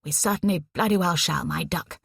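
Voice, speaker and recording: A woman voicing a bubbly, cheeky young wartime nurse who talks briskly and teases her friend. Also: on the low side, creaky, fairly bright tone, breathy edge, no room sound, clean studio sound, full character voice. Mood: teasing. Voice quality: brisk, teasing, exuberant.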